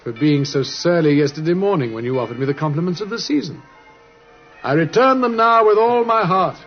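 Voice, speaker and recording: An actor playing a shrewd, commanding British gentleman detective in an old radio drama, precise and theatrical. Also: on the high side, animated, fairly quick. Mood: reflective, warm. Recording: muffled vintage radio, music behind.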